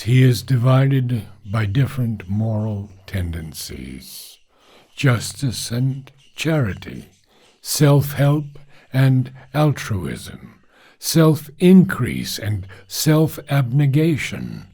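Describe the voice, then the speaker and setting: A man reads a philosophical text aloud at a very unhurried pace. His voice is mellow and husky, set at an average male pitch. He delivers the formal prose in measured, deliberate phrases with pauses between them. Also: sing-song. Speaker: reader of a philosophical book.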